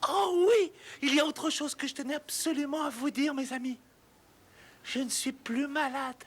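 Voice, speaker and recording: High-pitched, theatrical cartoon toad. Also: male voice, normal speed, a bit bright. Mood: earnest. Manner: theatrical, gushing, exclamatory. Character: vain, boastful, excitable male toad gentleman, theatrical. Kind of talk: French cartoon dub acting.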